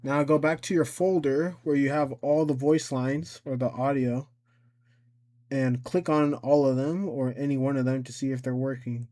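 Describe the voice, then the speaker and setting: A man giving a casual software tutorial, rambling and thinking aloud as he works through each step. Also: medium pitch, fairly even intonation, moderate pace. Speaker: tutorial presenter.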